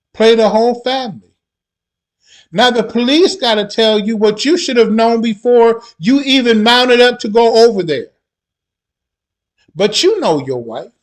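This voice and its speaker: A man hosting a commentary video, mocking the people in a clip with rhetorical questions and sometimes dropping to a low mutter. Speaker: commentary host. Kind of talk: reaction commentary.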